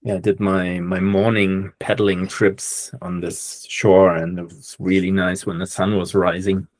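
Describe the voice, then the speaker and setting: A man from Germany chatting on a video call before giving his session, in relaxed, friendly small talk. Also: deep voice, measured pace, dry recording. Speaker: speaker of the session. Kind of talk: casual chat on a video call.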